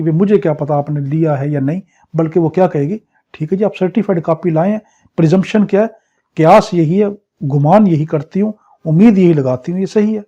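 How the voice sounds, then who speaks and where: A man's voice: fairly high pitch, dark tone. A man with a Pakistani accent teaching a law crash course, explaining point by point with everyday examples and questions he answers himself. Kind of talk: exam crash-course lecture, explaining article by article.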